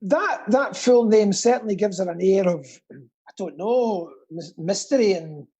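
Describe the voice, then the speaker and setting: A man's voice: high-pitched, lively intonation, measured pace, mellow, slightly rough. A man co-hosting a quiz with casual comic banter, teasing in a dry, mock-serious way. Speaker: quiz co-host.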